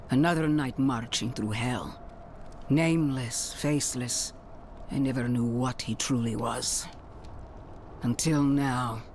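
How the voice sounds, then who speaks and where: An actor playing a grave, righteous leader of holy knights in a game cinematic, speaking in a measured, preacher-like way. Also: male voice, medium pitch, darkish tone, slight background noise, lightly acted. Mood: grave, indignant. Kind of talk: dramatic game cinematic acting.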